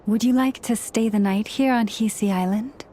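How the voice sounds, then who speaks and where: A woman playing a gentle, solemn priestess in a video game, speaking softly in formal, measured phrases over background music. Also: on the high side, slight background noise, lightly acted. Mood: hesitant, tender. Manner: formal, measured, soft-spoken. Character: gentle, solemn, courteous priestess of a moon order.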